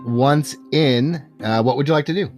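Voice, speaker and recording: A man's voice: mid-range voice, normal speed, a little mellow, faint music behind. A man narrating a tabletop fantasy game as game master, telling the scene descriptively to the players. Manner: descriptive second-person storytelling.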